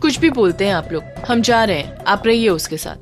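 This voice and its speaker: A woman voicing a flustered, excitable rustic man in a comic audio drama, hurried and grumbling, easily embarrassed. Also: mid-range voice, over music, close to an everyday voice. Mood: annoyed, embarrassed. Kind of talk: Hindi audio drama character voice.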